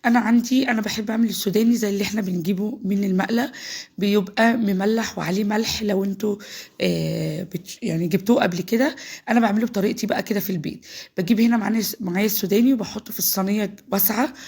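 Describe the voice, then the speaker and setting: A woman's voice: medium pitch, creaky, darkish tone, husky. An Egyptian woman with a cold, chatting through a home cooking tutorial step by step. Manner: chatty, talks viewers through each step. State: voice changed by a cold. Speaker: home cook. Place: home kitchen.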